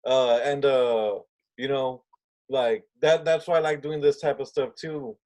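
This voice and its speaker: A man's voice: mid-range voice, steady tone, unhurried, a little mellow. A man hosting a music podcast, asking questions casually and hesitantly, with many fillers and restarts. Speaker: podcast host. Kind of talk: casual interview.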